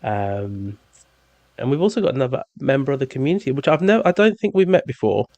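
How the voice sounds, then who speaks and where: A London-accented man in his thirties rambles through a relaxed podcast chat, cracking jokes and filling gaps with ums. His voice sits in a middle range and is recorded close and dry, with no room sound. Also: medium pitch, brisk, no echo. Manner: rambling, jokey, many ums. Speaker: podcast host. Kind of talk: casual chat.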